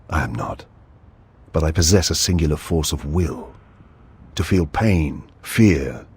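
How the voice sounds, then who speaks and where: An actor playing a cold, iron-willed inquisitor in a video game, speaking in a grave, measured, deliberate way. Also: male voice, rumbling low, breathy edge, heavily acted. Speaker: protagonist and narrator. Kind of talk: scripted dramatic narration in a video game.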